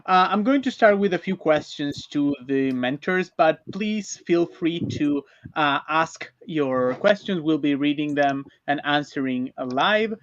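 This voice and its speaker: A man moderates an online panel in a somewhat high voice. His delivery is halting, and the sound is dry and a bit muffled. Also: animated, fairly bright tone, no echo. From Argentina. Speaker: panel host. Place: converted school bus, video call.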